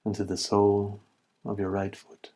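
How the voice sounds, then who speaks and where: A man leading a guided body scan meditation as an instructor, giving calm, measured instructions in short, spaced phrases. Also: on the low side.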